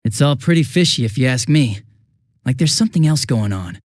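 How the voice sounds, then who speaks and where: An actor playing a bumbling, chatty young police detective in a video game dub, with an American accent and a sheepish, stammering, casual manner. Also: male voice, mid-range voice, lively intonation, a little fast, a little mellow, dry recording, studio quality, natural voice. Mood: friendly, goofy.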